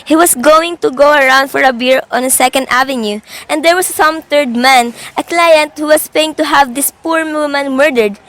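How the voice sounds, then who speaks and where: A woman's voice: sits high, fairly bright tone. A young woman playing an anxious, demanding invalid wife in a suspense radio drama, with a fretful and indignant manner. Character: anxious, demanding, self-important invalid wife, easily exasperated.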